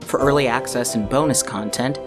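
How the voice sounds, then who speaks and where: A man with a mid-pitched voice reads scripted closing credits as an announcer. Music plays clearly behind him. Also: a little fast.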